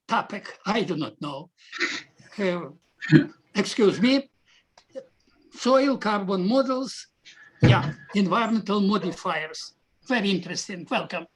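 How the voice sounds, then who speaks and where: A man chairing a conference session over a video call, asking questions and introducing the next speaker, politely and haltingly. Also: high-pitched, breathy edge, poor audio.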